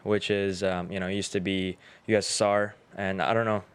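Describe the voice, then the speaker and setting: A man's voice: low voice, flat delivery, moderate pace, clear, resonant tone. A man in his twenties from Uzbekistan, a native Russian speaker, telling his own story casually and informally as a podcast guest. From Tashkent, Uzbekistan. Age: 20s. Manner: informal, slangy.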